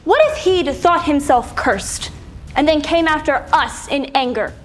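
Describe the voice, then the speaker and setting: High-voiced, spiteful young stepsister. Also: female voice, faint music behind. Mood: scornful, accusing. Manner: sneering, scornful, drawn-out delivery. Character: spiteful, haughty young stepsister bullying her sister. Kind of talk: dramatized audio drama acting.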